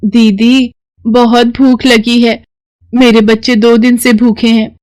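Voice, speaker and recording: A woman's voice: a little high, level tone, slow pace, darkish tone, pitched above the performer's own voice. A woman voicing a poor, hungry mother in a cartoon story, humble and pleading. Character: poor, hungry, grateful mother begging for food.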